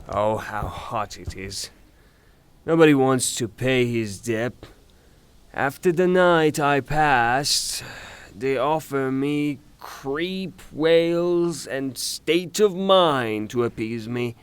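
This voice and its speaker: Man acting a slow-spoken, exasperated, blustering creditor. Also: medium pitch. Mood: weary, grumbling. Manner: theatrical, ranting, exasperated. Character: angry blustering male creditor, hot-tempered woman-hater. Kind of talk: theatrical audio drama monologue.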